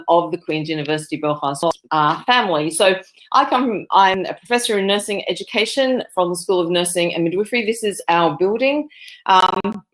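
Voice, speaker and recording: A woman's voice: fairly low pitch, rough recording. A woman, a nursing professor giving a conference presentation over a video call, with many fillers and restarts. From Australia. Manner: fillers and self-corrections.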